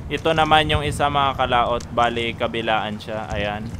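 A man's voice: mid-range voice, level tone, normal speed. A man from the Philippines casually narrating a fishing trip to his viewers, explaining each step plainly from a small boat at sea. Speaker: fishing vlogger. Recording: wind and boat noise behind.

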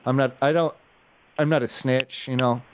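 A man's voice: mid-range voice, steady tone, moderate pace, a little hiss or hum. A man making an improvised prank phone call, rambling in an evasive, hesitant way. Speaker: prank caller. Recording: dull lo-fi call audio.

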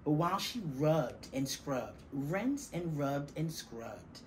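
A middle-aged woman reading a children's picture book aloud, deliberately and with dramatic stress on key words. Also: low-pitched, big pitch swings. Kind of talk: expressive children's storybook reading. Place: small classroom, indoors. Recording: faint room noise behind the voice.

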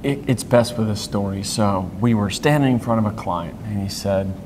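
A man's voice: on the low side, normal speed. A man in his fifties giving conversational interview answers, plain-spoken and explaining through stories and analogies. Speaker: interview guest, industry executive. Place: hotel conference corridor, indoors. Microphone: clip-on wireless lapel mic. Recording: long hall reverb, faint background noise.

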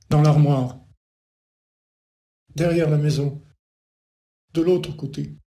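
A man reciting poetry as an incantatory litany, in short repeated phrases set apart by pauses. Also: a little high, a little mellow. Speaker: poet.

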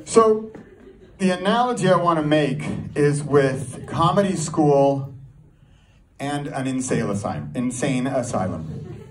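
A man performing a stand-up comedy set, telling a story in an animated way and throwing rhetorical questions at the audience. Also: a little high, a little slow. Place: live comedy show before an audience. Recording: live room, distant mic, audience noise. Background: audience crowd and laughter.

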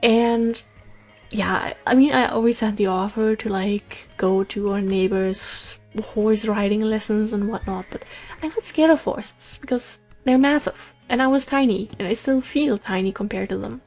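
A woman's voice: mid-range voice, measured pace, darkish tone, faint music behind, rough recording. A woman in her twenties chatting casually and telling stories while playing a game, with frequent giggles. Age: 20s. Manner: chatty, storytelling, giggly asides. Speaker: gaming channel host. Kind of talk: casual let's play commentary. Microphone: USB condenser mic.

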